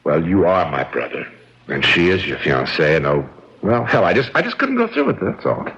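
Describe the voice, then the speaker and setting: An actor playing a defensive, self-justifying brother in a radio mystery, blustering and dramatic. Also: male voice, deep voice, very animated, fairly quick, creaky, a bit bright, heavily acted. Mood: sheepish explaining. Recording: dull lo-fi radio.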